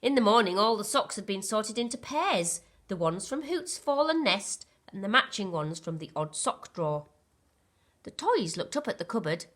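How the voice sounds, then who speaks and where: A woman's voice: medium pitch, very animated, normal speed, a bit bright. A woman reading a children's storybook aloud expressively, like a primary school teacher.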